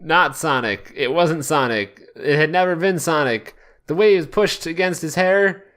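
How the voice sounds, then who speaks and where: A man's voice: fairly high pitch, measured pace, a bit bright. A man hosting a podcast, reading fan fiction aloud in a casual comedic way and stumbling over the odd word. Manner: often breaks into laughter, stumbles over words. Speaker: podcast host.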